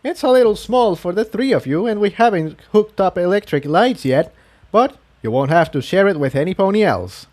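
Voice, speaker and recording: A man's voice: sits high, lively intonation, normal speed, darkish tone, voice pushed up. A man voicing a devout, courteous pegasus townsman who explains things earnestly and formally in a fantasy audiobook. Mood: apologetic, reassuring. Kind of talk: acted dialogue in fan fiction audiobook.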